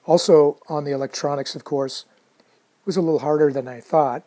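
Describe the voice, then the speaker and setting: Guy doing casual how-to narration, mellow dull-edged tone, pretty monotone, kinda halting and thinking out loud. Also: on the high side. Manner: unhurried, halting, thinking aloud. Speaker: hobbyist narrating a radio restoration video.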